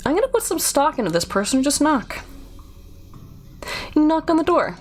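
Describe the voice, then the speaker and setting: A woman's voice: high-pitched, sing-song, moderate pace, soft background music. A woman streaming a game, reading its narration aloud with casual asides into a close microphone.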